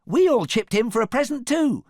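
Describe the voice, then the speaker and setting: A man voicing excitable little fairy tale pigs and creatures in a storybook, with eager, squealing delivery. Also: very high-pitched, moderate pace, no room sound, clean studio sound, pitched above the performer's own voice, exaggerated voice.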